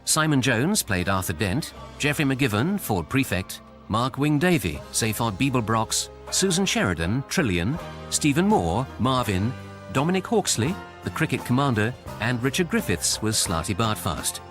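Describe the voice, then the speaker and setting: A man with a British accent announcing scripted radio credits in a formal, deadpan manner. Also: mid-range voice, normal speed, over music.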